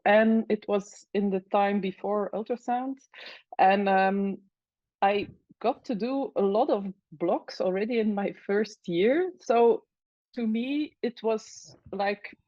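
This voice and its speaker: A woman from Belgium, an anesthesiologist speaking in a casual interview, hesitant with many fillers and pauses. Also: medium pitch, warm tone, dry recording, highs cut off. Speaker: anesthesiologist guest.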